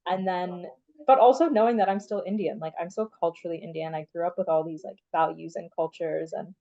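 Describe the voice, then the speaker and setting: A young woman from Canada talks easily and chattily, her sentences full of "like." Her voice is mellow and sits a little low. The sound is dull and lo-fi. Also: steady tone, a little fast. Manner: chatty, frequent "like" fillers. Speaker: interview guest. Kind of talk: casual conversation.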